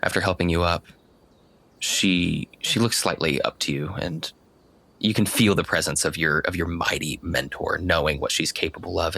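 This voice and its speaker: A man working as game master, narrating a tabletop roleplaying game in a deliberate, descriptive way. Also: sits low, sharp tone.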